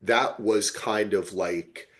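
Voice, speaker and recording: A man's voice: on the low side, fairly even intonation, slow pace, fairly bright tone. Man chatting emphatically on a podcast. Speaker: podcast host. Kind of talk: casual chat.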